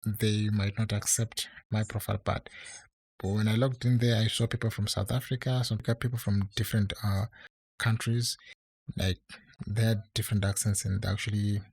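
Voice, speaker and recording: A man's voice: on the low side, flat delivery, moderate pace, creaky, darkish tone, husky, no room sound, rough recording. A man talking casually to his viewers, rambling with many fillers and restarts, with an English accent that is not American. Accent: non-American English. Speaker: online English tutor. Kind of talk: casual talk to camera.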